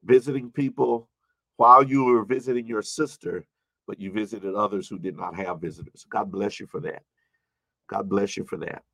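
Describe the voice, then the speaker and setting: A man in his early fifties speaking as a pastor in an informal sermon, repeating phrases for emphasis and moving between loud and hushed delivery. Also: lowish voice, steady tone, normal speed, creaky, dry recording, poor audio. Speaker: pastor addressing an online congregation. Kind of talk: informal sermon with personal anecdotes and replies to viewer comments.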